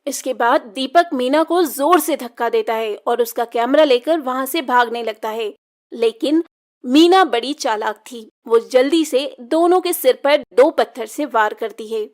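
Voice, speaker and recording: A woman's voice: high voice, measured pace. A woman narrating a scripted children's story as the storyteller.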